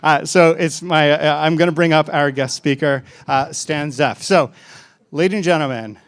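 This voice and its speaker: A man hosting an event, formally reading out an introduction for a guest speaker, with a few ums. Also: on the high side. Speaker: event host. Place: event hall with audience.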